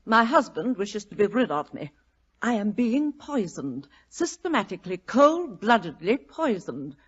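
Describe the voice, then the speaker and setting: A woman's voice: medium pitch, very animated, a little slow, breathy edge. An actress playing a vain, imperious, portly middle-aged society lady, grand and melodramatic, in an old-time radio drama. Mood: dramatic alarm. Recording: dull old radio broadcast recording.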